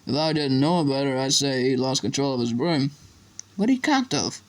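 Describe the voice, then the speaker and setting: A man's voice: medium pitch, animated, normal speed, lightly acted, low-quality recording. A man voicing a big, kindly, rustic gamekeeper with folksy, unpolished speech in a storybook. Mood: worried.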